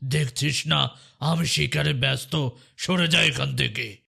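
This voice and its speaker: A man voicing an arrogant, bad-tempered tiger king in a cartoon, menacing and domineering, with a rough, gravelly voice. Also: medium pitch, unhurried, pushed and bright, full character voice. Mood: angry, impatient. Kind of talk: cartoon voice acting.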